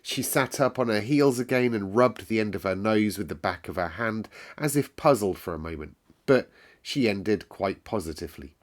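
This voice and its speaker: A man narrating a classic children's story in an expressive read-aloud style. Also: fairly low pitch, moderate pace. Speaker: storyteller, host.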